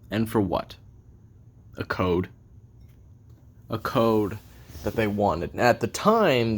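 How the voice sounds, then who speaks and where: A man playing a bitter, weary assassin in an audio roleplay, recounting his life in a brooding, profane monologue with heavy pauses. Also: sits low, gravelly, husky, exaggerated voice. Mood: bitter, resentful.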